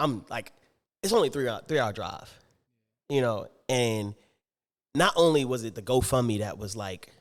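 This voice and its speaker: A man speaks in a mid-range voice, slangy and excitable. He jumps up into his quoted voices while he tells his story. A long reverb hangs behind him, as if in a large hall. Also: mid-range voice. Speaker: podcast host. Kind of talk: casual storytelling chat.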